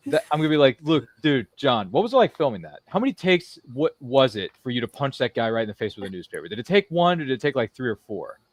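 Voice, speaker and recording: A man's voice: mid-range voice, sing-song, fast, hardly any pauses. A man hosting a live-streamed interview show, chatting casually in a jokey, rambling way. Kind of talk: casual chat.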